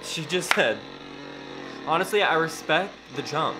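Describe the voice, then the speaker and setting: A man's voice: fairly high pitch, lively intonation, unhurried, noisy background. A young man, co-hosting a film reaction in a casual, campy and sassy way, swearing and exclaiming. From Texas. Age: young adult. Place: home room with bookshelves, indoors.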